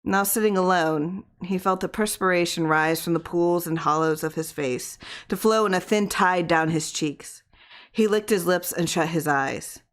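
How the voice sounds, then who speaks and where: A woman reading a literary horror story aloud in expressive audiobook narration. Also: on the low side, moderate pace. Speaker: audiobook reader.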